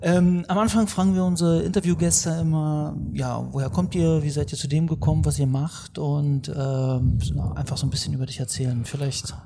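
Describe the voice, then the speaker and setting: A man's voice: fairly high pitch, flat delivery, normal speed, vocal fry, few pauses, noisy background. A man hosting a podcast, interviewing a guest in a chatty, upbeat way. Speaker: podcast host.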